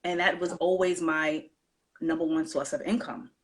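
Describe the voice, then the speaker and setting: A woman hosts a live-stream chat in a low, relaxed voice, greeting viewers and affirming her guest. She talks loosely, with plenty of fillers. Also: moderate pace, poor audio. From New York. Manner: warm, affirming, many fillers. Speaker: host.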